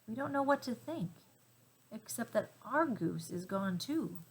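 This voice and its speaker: A woman reading a children's storybook aloud with gentle, deliberate phrasing and dramatic emphasis. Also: a little high, lively intonation, husky, rough recording. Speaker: storybook reader.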